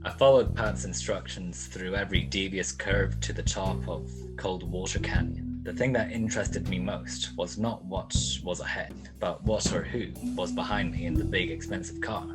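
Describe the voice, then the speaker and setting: A hardboiled, wry male private eye from a 1940s radio drama, acted by a man. His voice sits low and his delivery is laconic. Loud music plays behind him. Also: fairly even intonation, moderate pace, few pauses, rough recording. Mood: cool narrating. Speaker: lead actor and narrator. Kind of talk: 1940s detective radio play acting. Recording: music behind the voice, home-recorded radio play.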